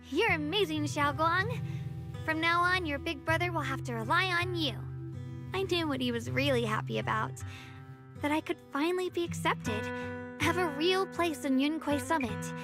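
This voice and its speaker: A woman playing a guarded, wry young swordswoman in a video game, speaking in a measured, softly dramatic way. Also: high-pitched. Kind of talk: video game story voice acting. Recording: game music playing under the voice.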